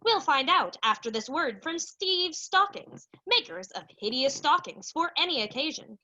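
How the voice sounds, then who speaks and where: Female radio-play narrator, very lively intonation, high pitch, theatrical, 1940s announcer style. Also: moderate pace, highs cut off.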